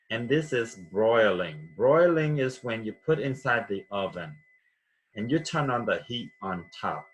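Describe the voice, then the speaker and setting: Slow-paced male teacher. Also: lowish voice, rough recording. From Vietnam. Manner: patient, repeats words, frequent "okay?" checks. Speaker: vocabulary teacher. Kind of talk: classroom vocabulary lesson.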